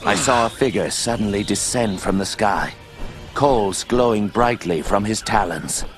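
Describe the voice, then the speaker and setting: A man's voice: fairly low pitch, unhurried. An actor narrating as an older male owl guardian, telling the story steadily over game music. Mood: earnest.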